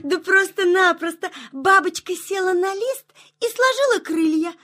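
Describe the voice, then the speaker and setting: Very high-voiced, dainty butterfly. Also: female voice, expressive, normal speed, a bit bright. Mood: gentle, explaining. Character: shy, sensitive, slightly vain female mourning-cloak butterfly. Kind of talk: children's audio play acting.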